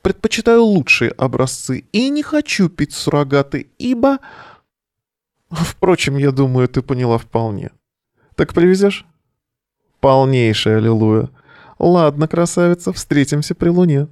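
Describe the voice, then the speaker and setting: A man's voice: on the high side, animated, a little slow, a little mellow, smooth, no echo, studio quality. A male actor playing a weary, sardonic bohemian in an audio play, delivering a verse monologue over the phone in an ironic, declamatory way. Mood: playfully ironic.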